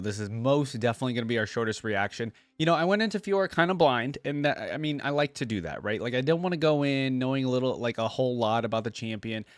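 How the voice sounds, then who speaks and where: A male reaction host talks off the cuff about game lore. His voice sits at an ordinary male pitch, and he rambles conversationally, often tagging on a questioning "right?". The sound is dry and close. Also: no room sound. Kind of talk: casual unscripted game lore commentary.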